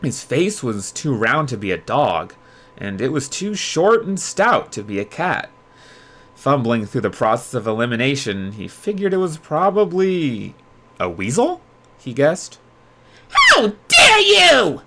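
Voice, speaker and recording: A man hosting a livestream readalong, moving between expressive storybook narration and casual chat with viewers. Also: mid-range voice, unhurried. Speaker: streamer host reading aloud.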